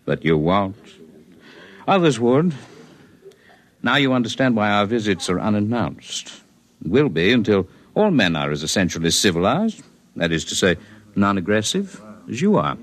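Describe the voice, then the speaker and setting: A lofty alien healer. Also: male voice, lowish voice, very animated. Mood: calm. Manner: measured, lofty, precise. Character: calm superior alien healer man, scholarly. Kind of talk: 1950s radio drama acting.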